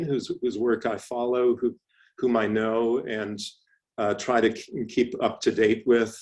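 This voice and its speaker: A middle-aged man from Canada giving a lecture presentation, speaking in a thoughtful, measured way with frequent restarts and fillers. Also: mid-range voice, darkish tone. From Canada (Toronto). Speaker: professor giving a talk.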